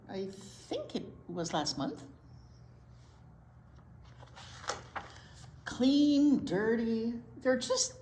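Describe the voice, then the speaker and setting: A woman's voice: fairly high pitch, pitched above the performer's own voice, heavily acted. Woman as a stubborn pigeon, wildly swooping protests. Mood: defensive. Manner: defensive, exaggerated protesting. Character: stubborn, grubby pigeon who refuses a bath. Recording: roomy echo, noticeable room noise.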